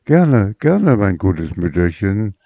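A man voicing a kind, polite young girl, in a slow storybook reading. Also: fairly low pitch, lively intonation, dry recording, studio quality. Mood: gentle. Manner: gentle, polite.

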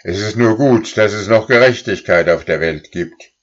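A man's voice: on the low side, moderate pace, lo-fi sound, pitched above the performer's own voice, natural voice. A man reading aloud, voicing an old, wise and very angry female cow who bellows threats in a storybook. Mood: furious. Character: oldest, wisest, angriest female cow.

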